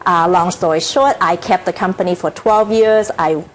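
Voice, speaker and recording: A woman's voice: lowish voice, rough recording. A middle-aged woman from Hong Kong, with a Hong Kong accent, talking earnestly as a TV interview guest with many fillers and restarts. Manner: earnest, halting, frequent fillers. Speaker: author and inspirational speaker.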